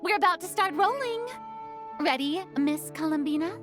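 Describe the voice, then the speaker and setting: A woman's voice: very high voice, lively intonation, moderate pace. A woman voicing a theatrical, bossy and cheerful young female film director in an anime video game. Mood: brisk, commanding. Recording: music underneath. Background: background music.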